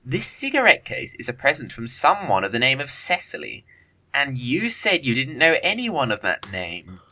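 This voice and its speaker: Man acting flippant young gentleman, bright and lively. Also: mid-range voice, normal speed, exaggerated voice. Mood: probing, amused. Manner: arch, drawling, theatrical delivery of epigrams. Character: witty, teasing young English gentleman, flippant bachelor dandy. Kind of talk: audio play acting of period comedy.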